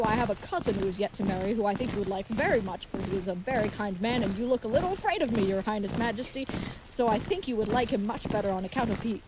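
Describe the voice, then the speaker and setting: A woman's voice: on the high side, noisy background, lightly acted. A woman playing a tall, earnest, dim-witted knight in a comedic fantasy audio drama, rambling with naive, formal courtesy. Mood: nervously polite.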